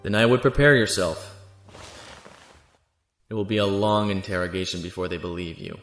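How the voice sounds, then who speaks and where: A stiff young imperial officer in a sci-fi audio drama. His lowish voice is formal and stern, echoing in the room over faint music. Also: moderate pace, a bit bright. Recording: echoey room, music behind.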